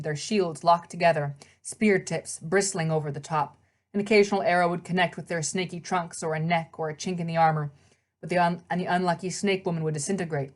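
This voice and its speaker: A woman reading a children's novel aloud as narrator, in scripted storybook narration. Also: fairly low pitch.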